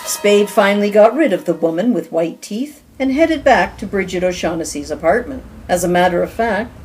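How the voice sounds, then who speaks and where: A woman narrating an amateur audio drama in brisk, expressive scripted narration. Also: mid-range voice, a little hiss or hum, poor audio.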